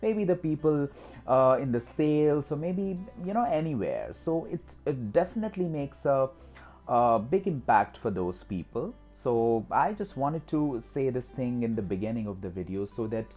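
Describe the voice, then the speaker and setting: An Indian-accented man, a native Hindi speaker, hosts an instructional talk. His voice is soft-edged and mellow, with wide melodic swings. He speaks emphatically and repeats himself, over a clear music bed. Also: medium pitch, very animated, moderate pace. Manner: repetitive, emphatic, with frequent "you know". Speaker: host of a skills channel.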